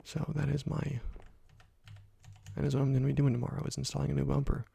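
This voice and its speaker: A young man hosting a gaming ASMR video, talking softly and slowly, often dropping to a whisper, with frequent ums. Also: mid-range voice, flat delivery, gravelly, slightly rough, dry recording. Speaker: gaming ASMR channel host. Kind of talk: soft-spoken ASMR gameplay commentary.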